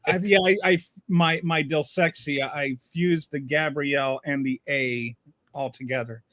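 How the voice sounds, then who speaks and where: Guy hosting a panel, halting, stumbles and restarts a lot, dry close sound. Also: a little high, fairly even intonation, a bit bright, dry recording. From Louisiana. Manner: halting, full of fillers and restarts. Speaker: host. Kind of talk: casual panel chat.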